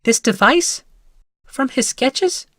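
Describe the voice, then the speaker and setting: A refined, wondering woman in a fantasy audiobook. The voice is lifted high and swings widely in pitch, with emphatic amazement. The recording is dry. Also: animated, takes it slowly, pushed and bright, no echo, exaggerated voice. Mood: amazed. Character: refined women, an impressed elder and an awed wife. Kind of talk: audiobook character dialogue.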